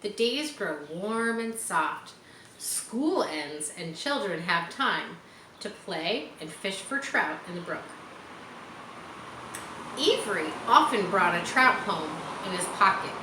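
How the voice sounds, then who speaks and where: Woman, animated children's storyteller, expressive intonation, teacherly manner, echoey room, faint noise. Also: medium pitch. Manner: teacherly, explains words and adds chatty asides. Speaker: read-aloud storyteller for children. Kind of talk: children's audiobook reading with asides. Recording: roomy echo with faint background noise.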